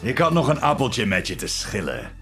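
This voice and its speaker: Mad dutch scientist from a video game, slow academic delivery, super swoopy pitch, kindly but tormented, music behind. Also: male voice, sing-song, gravelly. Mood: wry. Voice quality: authoritative, measured. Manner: academic, deliberate, shifting from gentle to intense. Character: Sigma, brilliant tormented scientist, kindly authoritative, unstable, given to bad jokes.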